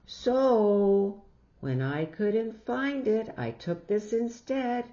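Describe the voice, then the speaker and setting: A woman voicing an eager, carefree little girl in a picture-book story, animated and pleading. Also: medium pitch, extremely slow, highs cut off, voice pushed up. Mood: excited. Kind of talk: picture-book read-aloud.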